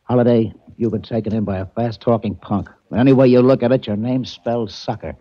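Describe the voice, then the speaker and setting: An actor playing a gruff, sarcastic police lieutenant in an old radio drama. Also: male voice, medium pitch, fairly even intonation, a little fast, close to an everyday voice. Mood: sardonic. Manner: sardonic. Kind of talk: 1940s radio acting. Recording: dull old radio recording.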